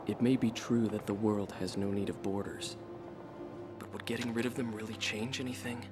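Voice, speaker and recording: A man's voice: fairly low pitch, fairly even intonation, close to an everyday voice. A man playing a reflective, weary former mercenary pilot in a video game's interview-style monologue. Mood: resolute, reflective. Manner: reflective, unhurried, plain-spoken. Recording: music behind the voice.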